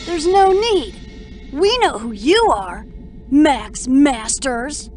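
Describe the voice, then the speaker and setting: A woman voicing a clever, eager young boy adventurer in a cartoon computer game, excited and earnest. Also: high voice, slow pace, faint music behind. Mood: defiant.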